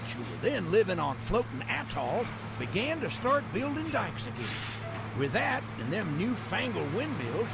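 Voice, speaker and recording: An actor playing a folksy, cheerful old-timer with a rural Western American accent, narrating a video game cutscene in a gravelly, drawling storyteller's way. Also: male voice, high voice, noisy background, exaggerated voice. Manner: colloquial drawling storyteller, exaggerated.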